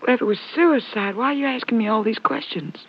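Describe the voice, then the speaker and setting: An actress playing a wronged, defensive ranch wife in a 1950s radio drama. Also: female voice, on the high side, fast talker, close to an everyday voice. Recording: muffled old radio recording.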